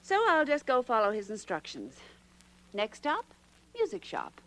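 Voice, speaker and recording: Brisk, wisecracking woman sleuth, swooping intonation. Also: a little high, huge pitch swings, a bit bright, exaggerated voice. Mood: dry, impatient. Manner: brisk, sardonic, no-nonsense. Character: brisk, wisecracking young female secretary turned sleuth. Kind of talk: 1940s radio acting. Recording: noisy old radio recording.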